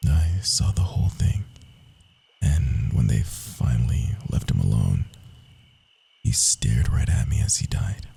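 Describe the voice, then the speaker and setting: Guy acting as a young rogue assassin, super low gravelly voice, hushed and breathy, asmr roleplay, proud but wounded. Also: big pitch swings, soft background music, full character voice. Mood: pained, remembering. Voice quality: husky, breathy, often dropping to a whisper. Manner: hushed, confiding, dramatic pauses. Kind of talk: ASMR roleplay monologue, intimate storytelling.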